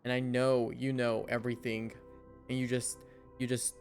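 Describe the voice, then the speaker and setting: A man's voice: mid-range voice, monotone, normal speed, creaky. A young man streaming a game, giving casual, chatty and playful live reactions. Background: game music.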